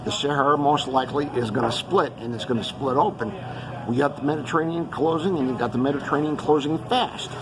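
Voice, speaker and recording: A man's voice: medium pitch, fast talker, a crowd in the background, poor audio. A man who grew up around Los Angeles gives a rambling monologue, listing one place after another with many fillers. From Los Angeles area, California. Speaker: host giving an earth-changes briefing.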